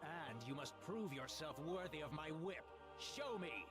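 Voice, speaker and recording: A man's voice: a little high, very animated, measured pace. A man voicing a proud legendary warrior in a video game, haughty and theatrical. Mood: challenging. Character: proud, challenging legendary male whip-wielding warrior. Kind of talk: dramatic video game voice acting. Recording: game music behind the voice.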